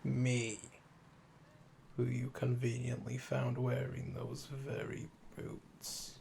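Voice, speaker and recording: Man playing a slow, gravelly, seductive assassin. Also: mid-range voice, monotone, a little mellow, a little hiss or hum. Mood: calm, controlled, flirtatious. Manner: slow, deliberate, teasing, smug. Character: calm, flirtatious, obsessive male assassin under interrogation. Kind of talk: intimate ASMR audio roleplay.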